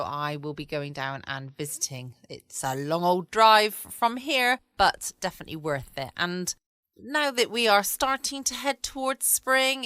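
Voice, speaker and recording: A woman's voice: medium pitch, pushed and bright, clean tone, dry recording, studio quality. An English woman hosting a bedtime podcast from her home, rambling in a chatty, friendly way. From England. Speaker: podcast host. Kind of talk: casual chat.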